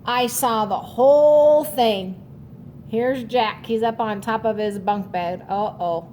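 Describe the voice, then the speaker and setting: A woman's voice: a little high, slow pace, a little mellow, slight background noise. A woman reading a children's storybook aloud like a teacher, adding short explanatory asides. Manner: instructive, checks understanding with short asides.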